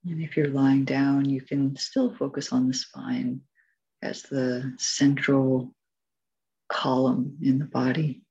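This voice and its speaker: A woman leading a guided meditation as its teacher, giving gentle, measured instructions with long pauses. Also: a bass voice, level tone, breathy edge, dull audio.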